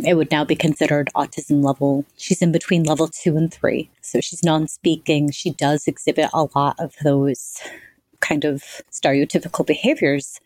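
A woman's voice: low voice, dry recording, rough recording. A woman in her forties, a podcast guest, speaking in a reflective, articulate way about her own experience. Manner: reflective, articulate, self-described monotonous voice. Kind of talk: conversational interview answers.

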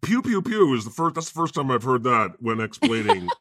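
A man hosting a comedy podcast in the persona of God, joking in a deadpan, self-mocking way. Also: medium pitch, fairly quick, no echo, studio quality. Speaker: podcast host.

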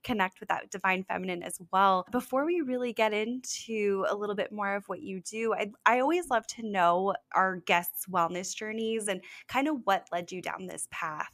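A woman's voice: medium pitch, normal speed, hardly any pauses, a bit bright, no room sound. A woman hosting a wellness podcast, speaking in an enthusiastic, upbeat way. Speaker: podcast host. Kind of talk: podcast interview host.

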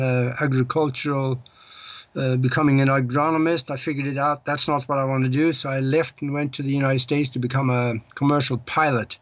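A man's voice: on the high side, no echo, dull audio. An older man from Norway, a guest on an interview podcast, telling his story unhurriedly with many hesitations. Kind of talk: casual interview.

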